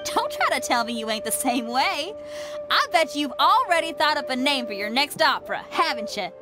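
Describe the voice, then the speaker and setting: A woman's voice: high voice, very animated, moderate pace. An actress playing a brash young female rock musician in a game, talking casually with slang. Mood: eager. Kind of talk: game voice acting. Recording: game audio with music behind.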